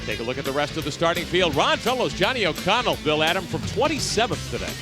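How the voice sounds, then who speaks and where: A man calling a sports car race as lead announcer, brisk and handing off to his reporters. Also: on the high side, over music. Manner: lively, brisk, hands off to reporters. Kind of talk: live play-by-play commentary.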